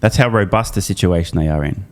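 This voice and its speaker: A man's voice: deep voice, quick pace. A man delivering a scripted recap commentary as a channel host, emphatic and opinionated, with casual, irreverent asides. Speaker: host of an investor news channel.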